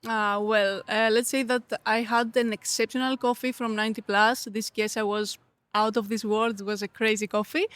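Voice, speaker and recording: High-voiced Greek woman, dry sound. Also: little pitch movement, normal speed, fairly bright tone, dry recording. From Greece. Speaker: interview guest, coffee competitor. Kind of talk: casual interview answers.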